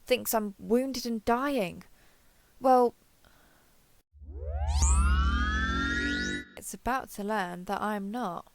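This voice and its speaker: A woman's voice: medium pitch, takes it slowly, vocal fry, over loud music. A woman playing a tough, cocky alien explorer and starship captain, wry and gritty, recording a log in an audio drama monologue. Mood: alert, defiant. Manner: wry, gritty, self-talking, mildly profane.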